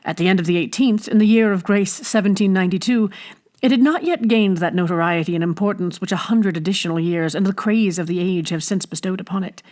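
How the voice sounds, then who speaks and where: A woman audiobook reader with a mid-pitched voice. She narrates expressively from a script, in the style of classic storybook telling. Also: brisk, darkish tone.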